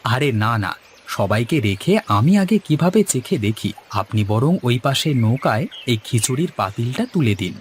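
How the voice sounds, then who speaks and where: A man voicing a sociable, courteous village organizer in an animated cartoon. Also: mid-range voice, normal speed, slight background noise, close to an everyday voice. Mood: friendly.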